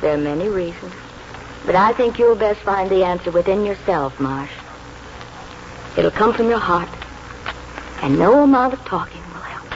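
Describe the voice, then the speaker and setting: Woman acting a devoted middle-aged wife, gentle n encouraging, old radio drama, muffled w some hiss. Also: medium pitch, expressive, normal speed, long pauses, lightly acted. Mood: tender, reassuring. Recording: muffled, noisy 1940s radio broadcast.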